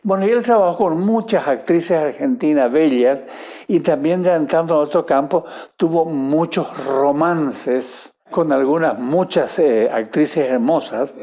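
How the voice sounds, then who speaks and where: A man's voice: a little high, measured pace, warm tone. A man being interviewed, reminiscing in spontaneous talk.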